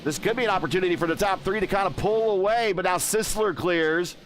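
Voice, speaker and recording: A man's voice: sits high, quick pace, a little hiss or hum. Man calling a virtual truck race. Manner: excitable play-by-play, chatty with stream chat. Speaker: lead race commentator. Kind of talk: live sim racing commentary.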